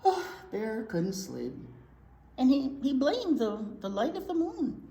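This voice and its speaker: A woman reading a picture book to young children in an expressive, chatty way, often asking them questions. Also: high voice, takes it slowly, creaky. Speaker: children's library teacher reading aloud. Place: indoor room at a library. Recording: roomy echo, noticeable background noise.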